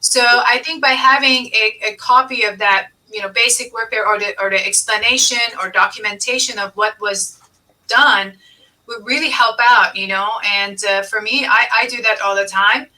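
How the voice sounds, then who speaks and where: A woman's voice: fairly high pitch, steady tone, moderate pace, pushed and bright, distant mic, poor audio. A woman hosting a business advice podcast, explaining things emphatically and repeating key points. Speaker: podcast host and accountant.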